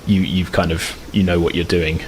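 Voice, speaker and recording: A man's voice: deep voice, level tone, normal speed, a little hiss or hum, rough recording. A man casually narrating a tabletop roleplaying game as game master, in a conversational, hedging way.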